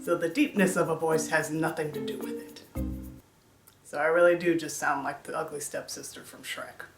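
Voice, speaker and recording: A young woman with a deep contralto voice, talking casually and dryly to camera in a self-mocking vlog. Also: steady tone, normal speed. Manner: dry, self-deprecating humor. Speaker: YouTuber. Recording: echoey room, mic at a distance, music behind.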